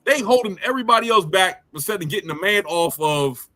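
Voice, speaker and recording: A man's voice: sits high, lively intonation, brisk, bright, forceful tone. Laid-back slangy man on a podcast. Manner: slangy, laid-back, laughs often, mimics people. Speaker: podcast host. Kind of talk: casual live-stream chat reading comments. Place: home.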